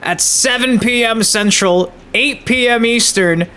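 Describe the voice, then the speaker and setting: A man's voice: extremely high pitch, measured pace, sharp tone, smooth. A man giving casual, hyped gaming news commentary as a channel host, slangy and emphatic.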